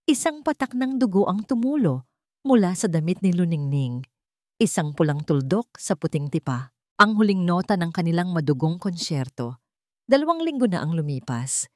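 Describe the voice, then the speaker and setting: Filipino lady reading a dramatic story, lowish voice, really dry close sound. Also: dark tone, no echo. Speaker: audiobook narrator. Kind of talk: dramatic scripted story narration.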